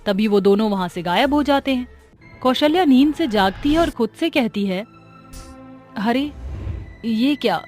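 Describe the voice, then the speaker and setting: A woman's voice: on the high side, lively intonation, moderate pace, a little mellow, faint music behind. A woman giving scripted narration for a cartoon story.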